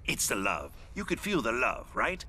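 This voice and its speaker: An actor playing a manic, sadistic clown villain in a video game, with theatrical, mocking delivery. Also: male voice, mid-range voice, wildly swooping pitch, moderate pace, sharp tone, slight background noise, heavily acted. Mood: coaxing, sinister.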